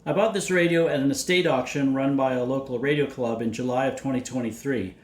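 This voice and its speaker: A man narrating a scripted technical explanation in a measured, matter-of-fact way, as a hobbyist presenter. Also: medium pitch, fairly even intonation. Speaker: vintage radio hobbyist and presenter.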